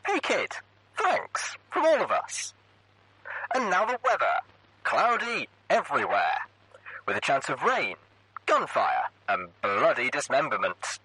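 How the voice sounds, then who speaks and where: A man's voice: on the high side, wildly swooping pitch, measured pace, pauses often, pushed and bright, slightly rough, dry recording, voice pushed up, exaggerated voice, low-quality recording. A man voicing an upbeat male pony radio DJ with a showy announcer delivery, in a storybook reading. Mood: playful, grateful. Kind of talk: post-apocalyptic radio broadcast in a storybook reading.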